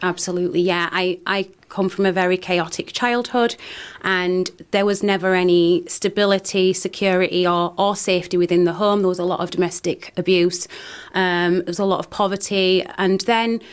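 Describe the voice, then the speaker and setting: A woman's voice: lowish voice, steady tone, normal speed, clear, resonant tone. A woman with a Northern English accent, a radio studio guest speaking earnestly about her work, often restarting her sentences. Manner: earnest, hesitant with many restarts. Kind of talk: radio interview.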